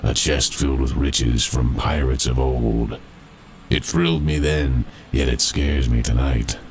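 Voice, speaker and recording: A man's voice: very deep voice, steady tone, a little hiss or hum. A man voicing the skeleton of a dead old miner, grave and ominous, drawing out his warning in a spooky rhymed campfire poem. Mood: grave, haunted warning. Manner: slow, drawn-out, ominous delivery.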